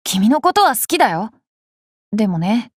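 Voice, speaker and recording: A young boy king from an anime game, high and very animated in pitch. He is cheerful and curious, with a polite, playfully confident way of talking. The sound is close and dry. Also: moderate pace, slightly rough, no echo. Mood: thoughtful, candid. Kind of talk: Japanese anime game character lines.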